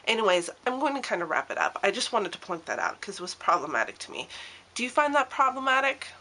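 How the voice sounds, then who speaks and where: A woman's voice: mid-range voice, very animated, fast, bright, forceful tone. A young woman vlogging casually to camera, rambling and restarting, a bit stuffy from a cold. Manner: rambling, hesitant, many restarts and ums. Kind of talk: casual talking-to-camera vlog.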